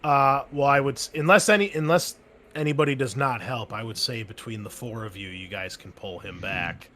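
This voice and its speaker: A man's voice: medium pitch, fairly bright tone. Casual male game master, faint hiss. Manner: casual, halting narration with fillers. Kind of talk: narrating a tabletop game.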